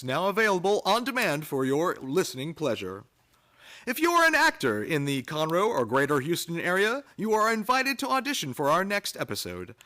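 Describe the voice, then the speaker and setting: A man's voice: on the high side, pushed and bright. A man reading scripted credits as a radio announcer, recorded live in a theater. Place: theater stage, live performance.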